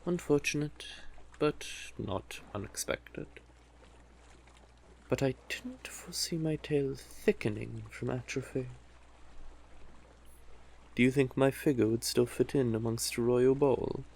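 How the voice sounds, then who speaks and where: A man's voice: medium pitch, slow, gravelly, long pauses, darkish tone, slight background noise. A man playing a cursed, tentacled male sea witch in an audio roleplay, coaxing and stately, doting yet commanding. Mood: wistful, vain. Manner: coaxing, stately, elaborately worded. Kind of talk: scripted audio roleplay addressed to the listener. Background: ambient sound effects.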